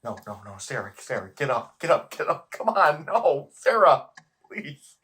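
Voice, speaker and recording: Volatile, exhausted man acted, swinging in pitch. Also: sing-song, moderate pace, bright, forceful tone. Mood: anguished, frantic. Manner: intense, emotional, profane. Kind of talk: audio drama acting.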